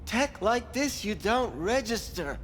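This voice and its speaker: An actor voicing a sleazy back-alley cyber surgeon in a video game, smarmy and florid, with drawn-out flattering talk. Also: male voice, sits high, a little hiss or hum, full character voice. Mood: smug, oily. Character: sleazy, oily male back-alley cyber surgeon, leering and self-pitying. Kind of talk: video game character acting.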